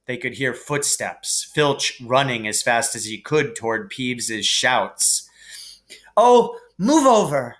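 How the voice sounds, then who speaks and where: A man reading a children's story aloud as dramatic, deliberate, suspenseful narration. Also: mid-range voice, fairly even intonation, sharp tone. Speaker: reader.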